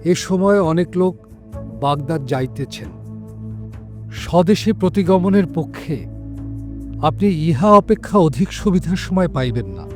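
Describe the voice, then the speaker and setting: An actor playing a kindly, humble bound prisoner, speaking formal lines in an audio drama. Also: male voice, on the high side, animated, measured pace, pauses often. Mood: kindly advising. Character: bound male prisoner from Damascus, kindly, humble, ill-fated. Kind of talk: Bengali audio drama acting, formal literary diction. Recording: music behind the voice.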